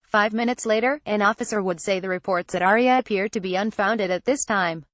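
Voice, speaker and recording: A woman's voice: medium pitch, brisk, a bit bright, no echo. Woman reading news in a dry recording. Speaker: news reader. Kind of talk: scripted news narration, reading aloud.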